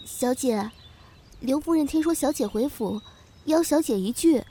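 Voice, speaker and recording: A woman's voice: high-pitched, animated, measured pace, warm tone. A woman playing a young, loyal, outspoken maidservant in a Chinese period audio drama. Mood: dutiful.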